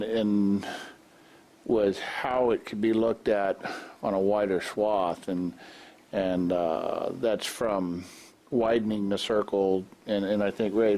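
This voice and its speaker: A man's voice: lowish voice, darkish tone. A man at a council meeting speaking informally and rambling, with frequent hesitations. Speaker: council member. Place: council chamber.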